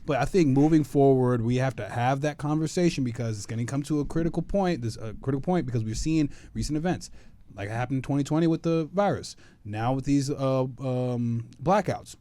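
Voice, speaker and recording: A man's voice: mid-range voice, dark tone. A man co-hosting a political chat podcast, pressing his points and repeating himself to drive them home. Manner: fast, insistent, repeats himself to make a point. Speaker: podcast co-host.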